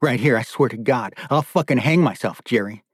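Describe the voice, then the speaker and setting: A man's voice: medium pitch, fairly quick, breathy edge, no echo, clean studio sound, natural voice. Man acting desperate, defiant captive father. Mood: desperate fury. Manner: pleading, bitter, swearing defiance. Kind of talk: audiobook character dialogue.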